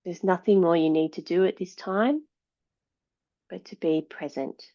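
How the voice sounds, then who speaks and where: A woman yoga teacher guiding meditation and breathing in a measured, soothing way, leaving long pauses between phrases. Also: fairly low pitch, mellow. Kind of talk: guided yoga and meditation instruction. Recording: dull, slightly lo-fi sound.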